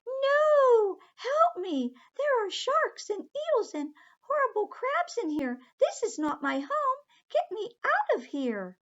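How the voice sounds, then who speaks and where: A woman voicing a tiny, polite, curious mermaid girl in a storybook, with a high, sweet voice. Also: big pitch swings, normal speed, heavily acted. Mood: panicked. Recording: dull lo-fi recording.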